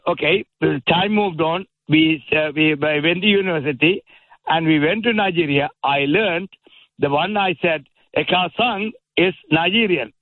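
A man in his seventies calling in with a Sri Lankan accent, his first language Tamil. He speaks in a slightly high voice and hesitates often, with many fillers. The sound is tinny and dry, like a phone line. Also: dry recording. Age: 70s. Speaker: caller. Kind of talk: phone-in call.

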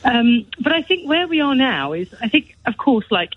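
A woman's voice: on the high side, very animated, normal speed, slightly rough, phone-quality sound. A woman, a showbiz editor, chatting on radio in a gossipy way with many restarts. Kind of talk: casual radio chat.

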